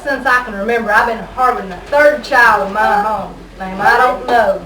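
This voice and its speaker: A woman performing an amateur comic skit monologue in a halting way, stumbling over her lines. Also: on the high side, bright, forceful tone. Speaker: amateur puppet show performer. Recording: distant noisy echoey home video.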